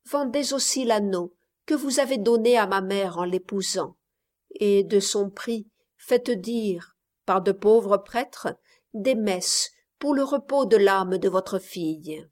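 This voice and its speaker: A woman voicing a dying young girl who speaks softly and with quiet resignation, in a read-aloud story. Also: mid-range voice, slow, close to an everyday voice. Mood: resigned.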